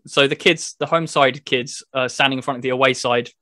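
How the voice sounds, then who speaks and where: A man's voice: mid-range voice, fairly even intonation, fairly quick, bright, forceful tone, dry recording, clean studio sound. A man hosting a podcast, explaining things in a casual gaming chat in loose, everyday wording. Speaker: podcast host reviewing a video game.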